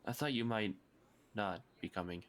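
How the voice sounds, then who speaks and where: A man's voice: lowish voice, moderate pace, a bit bright, close to an everyday voice. A man playing a patient, concerned young man in a comic dub. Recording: clattering dishes and cutlery behind.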